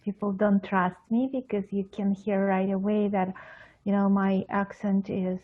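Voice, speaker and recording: A middle-aged Russian-accented woman talks through an interview, stopping often with fillers and restarting her sentences. Her voice is husky and comes over a thin, phone-like video call line. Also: mid-range voice, little pitch movement, measured pace. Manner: hesitant, many fillers and restarts, non-native grammar. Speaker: pediatric psychologist guest. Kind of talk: interview answers.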